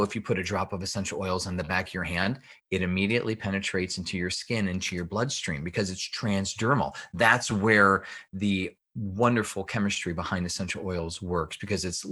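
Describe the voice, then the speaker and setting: A man with a fairly low voice talks as an expert guest on a podcast. He explains in an emphatic, teacherly manner, often putting a question and answering it himself. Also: fairly bright tone. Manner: emphatic, didactic, asks and answers own questions. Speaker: podcast guest, health researcher and author. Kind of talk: explanatory interview talk.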